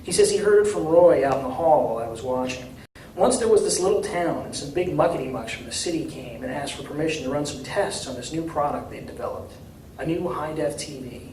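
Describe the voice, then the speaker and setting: A man giving a live reading of literary fiction in a measured, deliberate way, recorded from a distance in a room. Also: a little high, lively intonation, darkish tone. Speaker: author reading from his novel. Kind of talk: live literary reading, dramatic first-person narration. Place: indoor reading at a university. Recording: distant, echoey room with faint noise.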